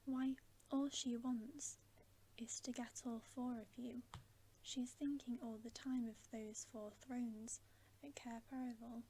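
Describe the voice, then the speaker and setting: A woman voicing a grave, wary male talking beaver in a hushed, whispered bedtime story reading. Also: a little high, flat delivery, slight background noise, low-quality recording. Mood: urgent warning. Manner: hushed, whispered, deliberate warnings.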